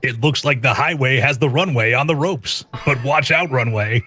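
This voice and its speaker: A man's voice: medium pitch, normal speed, noisy background. A man co-hosting a live stream, joking around, laughing often and doing silly voices. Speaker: streamer co-host. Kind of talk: casual stream chat over gameplay.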